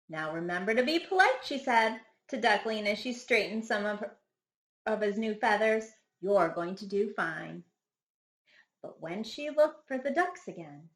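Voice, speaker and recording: A woman reads a children's storybook aloud in an echoey room. Her delivery is dramatic and very animated, phrased deliberately for young listeners in the manner of a primary school teacher. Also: on the high side. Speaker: first grade teacher reading a picture book.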